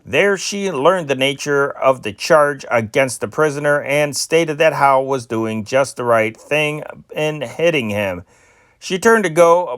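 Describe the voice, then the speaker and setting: A man's voice: mid-range voice, a bit bright, no room sound, clean studio sound. A man reading old newspaper stories aloud as an amateur news reader, stumbling and correcting himself now and then.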